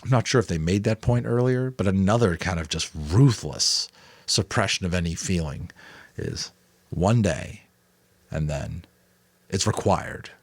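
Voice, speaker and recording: Male audiobook narrator, lowish pitch, dramatic storytelling, casual asides, dry close recording. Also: lively intonation, measured pace, dry recording.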